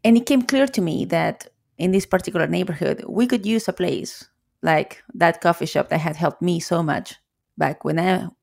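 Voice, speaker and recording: Gravelly low Chilean woman guest. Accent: Chilean Spanish. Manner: reflective, frequent restarts and soft laughs. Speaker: coffee shop owner, guest. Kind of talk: podcast interview.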